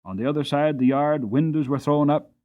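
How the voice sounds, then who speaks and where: A man's voice: fairly high pitch, brisk, narrow, tinny audio. Man giving a dramatic storybook reading. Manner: expressive, dramatic delivery. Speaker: audiobook narrator.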